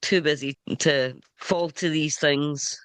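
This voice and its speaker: A man's voice: a little high, steady tone, a bit bright, clean tone, dry recording. Man host with a head cold. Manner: chatty, halting restarts. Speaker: radio show host. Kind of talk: casual interview.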